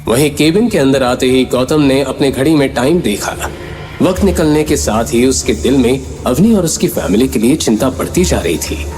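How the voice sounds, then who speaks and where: Male, dramatic audio-series narrator, mid-pitched voice, long reverb, music clearly behind. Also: animated, moderate pace, a little mellow. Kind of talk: dramatic scripted story narration. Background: clear background music.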